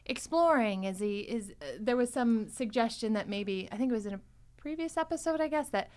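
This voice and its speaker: A middle-aged woman hosting a casual panel chat, speaking in an enthusiastic, expressive way. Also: on the high side, fairly quick. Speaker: podcast host and cast member. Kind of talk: casual, chatty panel discussion.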